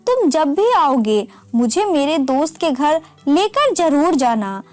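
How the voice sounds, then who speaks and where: A woman's voice: high-pitched, very animated, slow pace, no room sound, faint music behind, exaggerated voice. A woman narrator voicing an insistent, secretive sister-in-law with a demanding manner in an audio story.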